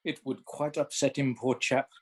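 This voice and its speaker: A man's voice: mid-range voice, level tone, moderate pace, husky, close to an everyday voice. A man playing a grumpy, pompous seventy-five-year-old Victorian playwright in a radio play, indignant and dryly witty. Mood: indignant, wry.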